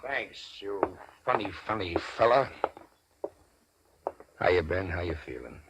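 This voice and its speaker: A man's voice: low-pitched, big pitch swings, measured pace. An actor playing a loyal, wry, slightly nervy henchman in 1940s radio crime drama, on a noisy old recording. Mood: wry, subdued.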